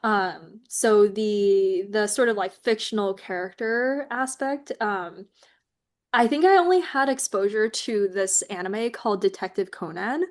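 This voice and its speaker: Female guest from Taiwan, mid-pitched, relaxed filler-heavy storytelling, video call. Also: a little slow. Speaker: webinar guest. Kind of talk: casual conversation.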